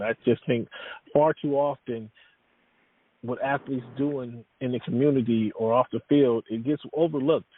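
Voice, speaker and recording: A man's voice: mid-range voice, no echo. A man chatting as a podcast guest, wandering through his answers with lots of ums and restarts. His voice sits in an ordinary middle range. The sound is dry and tinny, like a phone call. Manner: rambling, many fillers and restarts. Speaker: guest, sports writer. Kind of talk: casual interview talk.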